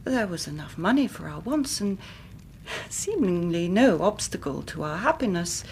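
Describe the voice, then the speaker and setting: Anxious, careworn English gentlewoman, rumbling background. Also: big pitch swings, moderate pace, slight background noise. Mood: composed, troubled. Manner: formal, precise, dramatic narrating with hushed moments. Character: anxious, careworn young Victorian gentlewoman recounting family tragedy. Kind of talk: British radio drama acting.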